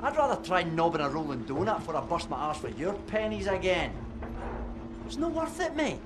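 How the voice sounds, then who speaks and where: Brash Scottish rogue, swooping pitch. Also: male voice, very animated, a little fast, noisy background. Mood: scornful. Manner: sarcastic, swaggering, slangy. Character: brash, foul-mouthed Scottish rogue, grumbling and sarcastic. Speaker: reluctant antiquities thief on a mission. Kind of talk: video game cutscene acting.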